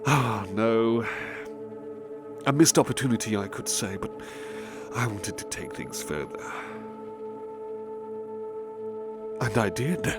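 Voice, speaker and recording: Prominent music plays under an unhurried, aristocratic serial killer, played by a man, in a horror audio drama. He confesses with gloating, theatrical relish, lingering on words and sinking toward a breathy hush. His pitch sits in a middle male register. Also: close to an everyday voice. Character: refined, sadistic serial-killer nobleman confessing his crimes.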